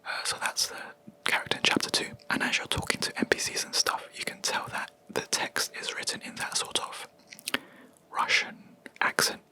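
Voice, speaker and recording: Young creator whispering asmr style, breathy and super bright, lots of ums. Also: normal speed, low-quality recording. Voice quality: soft unvoiced whisper throughout. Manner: rambling, hesitant, with many ums and self-corrections. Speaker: video creator. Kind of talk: whispered ASMR gaming chat.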